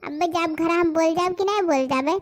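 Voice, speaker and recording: A woman voices a young village sweetheart in a cartoon, with an extremely high, childlike pitch and an Awadhi accent. She is sulky and sharp-tongued, scolding with impatience. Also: brisk. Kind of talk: comic rustic cartoon dialogue.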